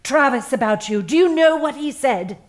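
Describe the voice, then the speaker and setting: A woman playing a smooth, manipulative HR executive in a satirical audio drama, cajoling and emphatic with corporate positivity. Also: sits high, expressive, moderate pace, slight background noise. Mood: persuasive. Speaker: actor.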